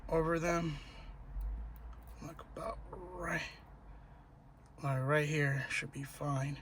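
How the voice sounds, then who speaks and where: A man's voice: a little high, steady tone, takes it slowly, a bit bright, slight background noise. A man narrating a casual hands-on tutorial, informal and thinking aloud as he works. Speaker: how-to video host.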